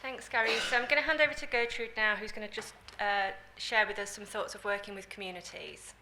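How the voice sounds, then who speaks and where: A woman chairs the session, handing over quickly between speakers. Her words come fast, and loud noise runs under her voice. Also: mid-range voice, fairly even intonation. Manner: quick, brief handovers. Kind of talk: introducing speakers.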